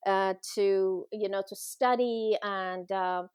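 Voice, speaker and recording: Casting: middle-aged woman from Iran, podcast guest, heartfelt conversational storyteller, medium pitch, recorded dry. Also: fairly even intonation, slow pace, darkish tone, clean tone, no room sound.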